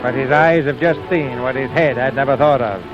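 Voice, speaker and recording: An actor playing a dramatic storyteller, narrating in 1940s radio drama style. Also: male voice, medium pitch, a little fast, lightly acted. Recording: muffled old radio broadcast, background music.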